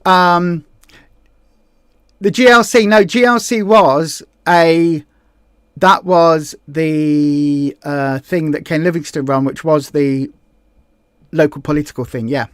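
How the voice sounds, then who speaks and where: A woman's voice: low-pitched, takes it slowly, long pauses, smooth, dry recording, clean studio sound. Rambling Southeast English woman host. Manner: rambling, self-correcting, many fillers. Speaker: livestream host. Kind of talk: casual livestream chat, reading viewer comments.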